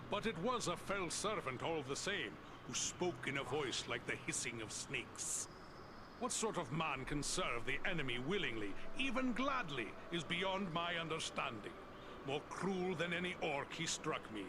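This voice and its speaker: An actor playing a proud, loyal older dwarf warrior in a video game, speaking in an earnest, archaic way. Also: male voice, on the high side, very animated, normal speed, background noise. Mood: grave, resolute. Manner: earnest, formal, archaic speech. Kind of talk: video game cutscene dialogue.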